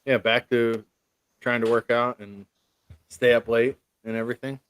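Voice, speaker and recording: Male co-host, mid pitch, easygoing and wry. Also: level tone, moderate pace, clean tone. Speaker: podcast co-host. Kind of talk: casual podcast chat.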